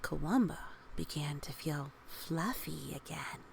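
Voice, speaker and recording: A woman with a slightly low, creaky voice reads a children's story aloud. She takes her time, gently encouraging young listeners and chatting in casual asides, often softening toward a whisper. A faint noise sits behind her. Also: a little slow. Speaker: storybook reader.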